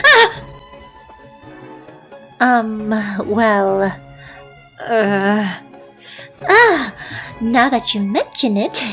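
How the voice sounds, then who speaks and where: A woman plays a proud, exasperated lady scholar from an anime game. She speaks slowly in a mid-pitched, theatrical voice, lecturing with haughty irritation over background music. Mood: amused, excitable.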